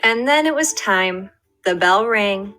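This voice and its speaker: A woman's voice: mid-range voice, expressive, slow pace, pushed and bright, faint music behind. A young adult woman, a first grade teacher, reading a picture book aloud to her class and talking to her students.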